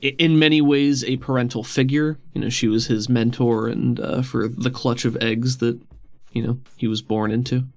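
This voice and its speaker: A man's voice: medium pitch, steady tone, normal speed, darkish tone. A man acting as game master, narrating and explaining rules casually during a tabletop game. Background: faint music.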